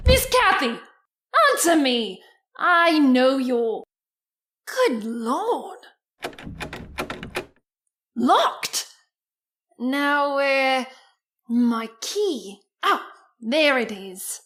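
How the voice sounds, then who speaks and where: A woman playing a fussy, excitable housekeeper with folksy, exclamatory speech in an old-time radio drama. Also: sits high, extremely slow, pauses often, a little hiss or hum, exaggerated voice. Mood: panicked.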